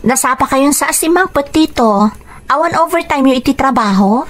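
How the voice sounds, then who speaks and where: A woman playing a grateful, earnest high school girl in an Ilocano radio drama, with an Ilocano accent and polite delivery. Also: animated, normal speed. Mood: concerned.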